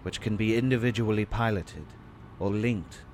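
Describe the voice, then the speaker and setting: A man's voice: lowish voice, moderate pace, darkish tone, a little hiss or hum, lightly acted. A man acting as a proud, touchy starship engineer with a self-satisfied, showy way of talking, in a sci-fi audio drama. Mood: proud, boastful. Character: boastful, touchy male starship lead engineer.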